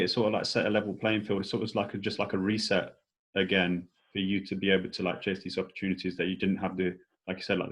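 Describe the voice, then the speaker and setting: A man hosting a discussion in a casual, conversational way, feeling his way informally through questions. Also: fairly low pitch. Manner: informal, tentative, peppered with "like". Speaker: discussion host.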